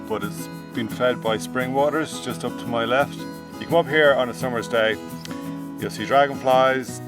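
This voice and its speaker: An Irish man in his forties talks outdoors about his land in a relaxed, unhurried way, often pausing on "uh". His voice sits at an ordinary middle pitch. A clear guitar plays underneath. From Ireland. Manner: relaxed, plain-spoken, with frequent "uh" pauses. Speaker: farmer. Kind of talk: documentary talk to camera. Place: outdoors on a farm.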